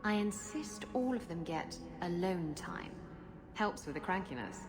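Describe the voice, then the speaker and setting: A worldly pirate-like seafarer in a fantasy game. Her voice is on the low side, suggestive and teasing. Loud music plays behind her. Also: expressive, unhurried. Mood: saucy, teasing. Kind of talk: video game banter.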